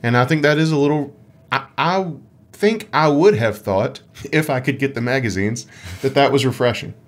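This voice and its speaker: A man's voice: medium pitch, moderate pace. A man co-hosting a casual gaming podcast with a dry, tongue-in-cheek way of talking. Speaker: podcast co-host.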